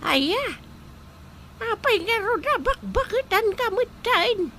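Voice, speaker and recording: A shrill, piercing old aunt in a radio comedy, drawling slowly and sounding bright and pointed. She is blunt and suspicious, and her teasing lands hard. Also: big pitch swings, slight background noise, full character voice. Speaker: visiting aunt.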